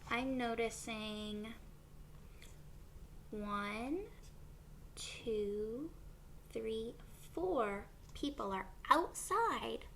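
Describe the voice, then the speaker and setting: A woman reading a picture book aloud to young children as a teacher would, expressively, with pauses and questions for her listeners. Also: fairly high pitch, creaky, fairly bright tone, a little hiss or hum.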